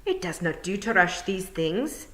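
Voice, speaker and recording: A woman's voice: medium pitch, big pitch swings, a little slow, fairly bright tone. A woman voicing a small, blustering male pixie warrior chief. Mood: crafty. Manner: blustering, gruff, bossy.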